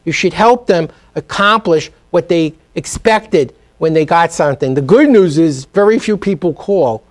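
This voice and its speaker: An emphatic, energetic middle-aged man with a high voice, speaking as a guest on a studio talk show. His lapel mic gives a close, clean sound. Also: measured pace. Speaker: guest, speed reading teacher. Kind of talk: TV business interview. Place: TV studio set.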